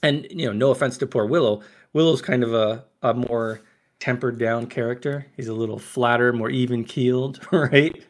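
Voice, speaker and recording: A man's voice: medium pitch, moderate pace. A man co-hosting a podcast, chatting casually about films and often checking for agreement as he goes. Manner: chatty, often seeks agreement with tag questions. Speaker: podcast co-host and author. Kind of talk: casual film discussion.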